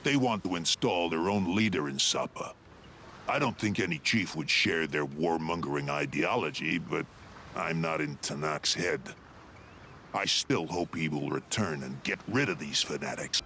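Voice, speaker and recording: An actor playing a proud, bitter alien tribesman with a grave, forceful way of talking, in a video game. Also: rumbling low, moderate pace, slight background noise, full character voice. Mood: bitter, accusing. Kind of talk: video game cutscene acting.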